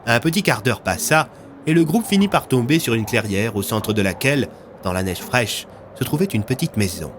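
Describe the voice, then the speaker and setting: A man's voice: medium pitch, animated, moderate pace, slight background noise. A man narrating scripted, dramatic audio fiction as a storyteller, with music underneath.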